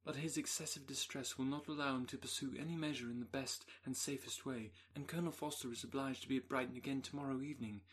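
A man reading a young woman's anxious letter aloud in an audiobook, in an even, composed way. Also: medium pitch, fast, few pauses, close to an everyday voice.

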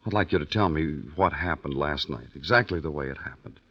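A kindly young lawman from an old radio mystery. His voice is very deep and a little rough, with a hesitant, reassuring manner. The broadcast sound is dull and aged. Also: a little fast, a bit bright, exaggerated voice. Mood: gentle, earnest. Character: kindly young male deputy sheriff, earnest and trusted. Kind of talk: 1940s-50s radio drama acting.

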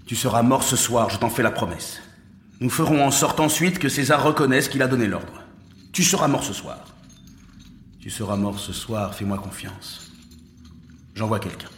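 An actor playing an insistent, scheming counsellor to a Roman ruler in a French audio drama, in a reverberant hall. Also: male voice, medium pitch, normal speed, pauses often, a little hiss or hum, close to an everyday voice. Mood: secretive, resolute. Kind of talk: French audio fiction acting.

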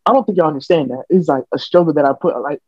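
A man's voice: on the high side, very fast, husky, dry recording. A young American man in casual podcast chat, talking earnestly and rambling with many restarts. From United States. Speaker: podcast co-host. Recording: dull lo-fi podcast audio, dry.

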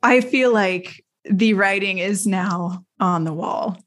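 A woman's voice: medium pitch, slow pace. A woman co-hosting a casual fan podcast in a playful, gushing, exclamatory way. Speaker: podcast co-host.

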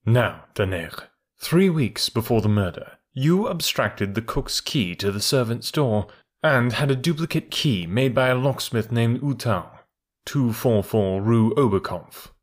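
A man's voice: medium pitch, lively intonation, a little slow. A man voicing a hard middle-aged male ex-detective turned blackmailer, who speaks dry, firm and precise. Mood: menacing.

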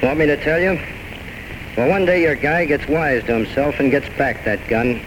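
An actor playing a blunt, unsentimental bartender, terse, sardonic and colloquial, in vintage radio drama style. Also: male voice, fairly high pitch, animated, normal speed, dull audio, natural voice. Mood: grimly sardonic. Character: blunt, cynical male bartender and listener, unsentimental. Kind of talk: 1940s-1950s radio drama acting. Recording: noisy old radio broadcast recording.

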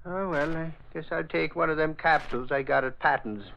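An actor playing a brash, talkative and rather dim Irish reporter in an old radio drama, with folksy, blustering, ungrammatical talk. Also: male voice, a little high, steady tone, fairly quick, gravelly, fairly bright tone. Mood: chatty. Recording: muffled, noisy old radio recording.

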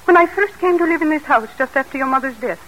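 An actress playing a caring, frank French governess and music teacher with a French accent in an old-time radio drama. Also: female voice, high voice, animated, fast, poor audio. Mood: concerned, chiding. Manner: gently admonishing. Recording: old radio broadcast recording.

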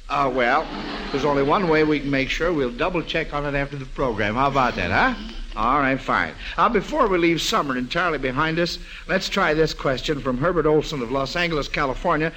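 A man's voice: on the high side, pushed and bright, a little hiss or hum, poor audio. A man hosting a 1940s radio quiz show in a folksy, avuncular way, repeating answers back, on an old broadcast recording.

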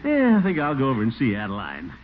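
A thin, noisy vintage radio recording carries a blustery middle-aged American bachelor. He is pompous and eager, his pitch leaping and diving dramatically in classic radio comedy style. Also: wildly swooping pitch, fast, phone-quality sound. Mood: self-satisfied musing. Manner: blustery, theatrical, with nervous chuckles. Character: pompous, excitable middle-aged small-town bachelor, vain but eager. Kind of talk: old-time American radio situation comedy acting.

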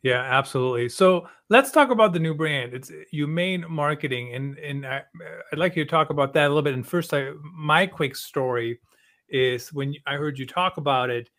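A man's voice: medium pitch, a bit bright. A man hosting a podcast, talking casually and checking in with "right?". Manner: conversational, asks with "right?". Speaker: podcast host. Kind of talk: casual interview.

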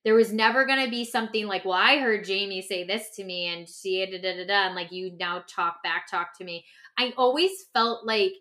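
Bright-voiced woman, casual podcast co-host. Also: mid-range voice, fairly quick. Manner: animated, informal, peppers talk with "like". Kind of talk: casual reflective podcast conversation.

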